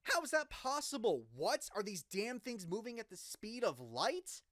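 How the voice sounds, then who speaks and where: A man's voice: high-pitched, big pitch swings, moderate pace, fairly bright tone, no room sound, clean studio sound. A man playing an incredulous, urgent radio news host in a dramatized live broadcast. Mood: incredulous, alarmed.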